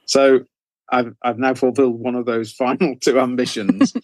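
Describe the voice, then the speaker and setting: A man's voice: medium pitch, level tone, moderate pace, darkish tone, no room sound, rough recording. Middle-aged British man, very dry close sound. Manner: rambling, self-interrupting storytelling. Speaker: interview guest, author. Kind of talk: conversational interview, anecdotal.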